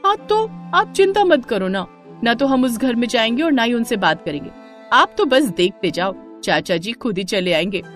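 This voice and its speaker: A woman's voice: on the high side, very animated, normal speed, a bit bright, faint music behind. A woman playing a caring, determined young daughter-in-law in a cartoon family story, coaxing and insistent.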